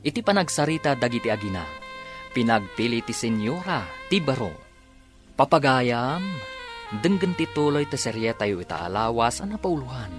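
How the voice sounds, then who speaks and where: A man's voice: medium pitch, animated, normal speed. A man with a Filipino accent narrating a radio drama as its announcer, in a dramatic scripted style. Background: music.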